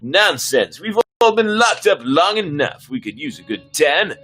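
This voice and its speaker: A man's voice: fairly high pitch, sing-song, unhurried, sharp tone, voice pushed up, exaggerated voice. A man performing bold, stubborn starship crew in a sci-fi dramatic reading, emphatic and melodramatic. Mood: excited, brash.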